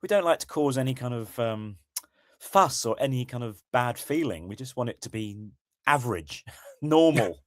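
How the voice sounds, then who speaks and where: British bloke with a bit of a cold, kinda croaky, relaxed chat, mid pitch, dry close mic. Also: male voice, moderate pace, no room sound. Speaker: podcast co-host. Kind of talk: casual chat.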